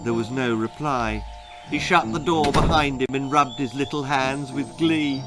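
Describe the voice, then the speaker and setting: A man reads a children's story aloud. His delivery is dramatic and unhurried, at a medium pitch. Faint music runs behind the narration. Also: fairly even intonation. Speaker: author reading his own story.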